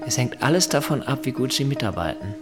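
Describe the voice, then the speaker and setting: A measured, probing interrogator, played by a man in a thriller radio play. His medium-pitched voice stays controlled and insistent over a music bed. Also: expressive, lightly acted. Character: controlled, probing male interrogator holding a captive. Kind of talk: scripted audio drama dialogue.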